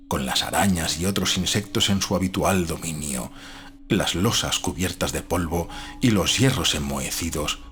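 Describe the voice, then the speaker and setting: A man's voice: deep voice, a bit bright. A man reading a gothic horror audiobook as a scripted, dramatic narration. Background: faint music.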